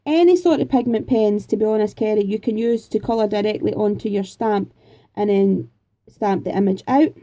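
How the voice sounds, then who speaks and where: Chatty Scottish woman, very mellow tone. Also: medium pitch, moderate pace. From Scotland. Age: 40s. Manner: chatty, rambling, homely, with frequent fillers. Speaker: craft vlogger answering viewer questions. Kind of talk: casual chat.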